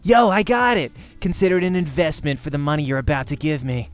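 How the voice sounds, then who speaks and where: A man's voice: fairly high pitch, lively intonation, fast, clean tone, faint music behind, lightly acted. A man voicing a cocky teenage boy gamer in a visual novel, with a smug, taunting, slangy manner.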